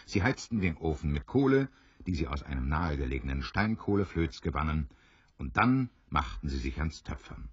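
A man narrating an audio drama like a storybook, measured and expressive in his scripted German storytelling. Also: very deep voice, breathy edge, no echo. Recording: dry, slightly dull studio recording.

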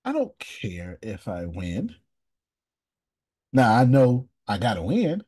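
A man hosting a casual coaching call over video, animated and emphatic, with slangy, repetitive phrasing. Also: medium pitch, takes it slowly, breathy edge. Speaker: business coach and host.

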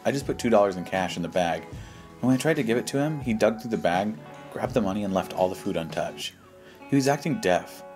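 A man's voice: fairly low pitch, animated, a little fast, darkish tone. A man giving casual, slangy, skeptical commentary and reading posts aloud in a joking way. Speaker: host of a reaction show. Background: background music.

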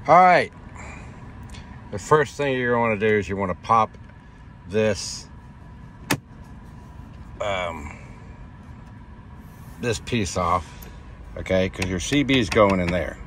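A man's voice: on the low side, expressive, slow pace, long pauses. A middle-aged American man giving a casual, plain-spoken how-to vlog from inside a truck cab. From United States. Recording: noisy truck cab, loud vehicle ambience.

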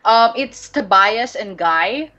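A woman's voice: medium pitch, lively intonation, slow, pushed and bright, dull audio. A woman talking casually as a podcast guest, rambling with lots of fillers and restarts. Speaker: podcast guest, webcomic creator.

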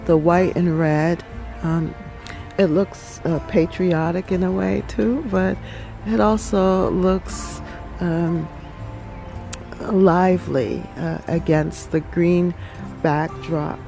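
A woman hosting a relaxed garden tour outdoors, talking reflectively with many pauses and ums. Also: fairly low pitch, vocal fry, faint music behind, low-quality recording. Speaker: garden channel host. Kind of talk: casual garden tour vlog.

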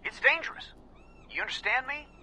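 An actor playing a folksy, rambling middle-aged resistance insider in a video game, giving awkwardly avuncular talk over a call. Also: male voice, very high voice, animated, normal speed, bright, forceful tone, full character voice. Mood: awkward, earnest. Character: folksy, rambling male resistance insider, self-styled old hound dog.

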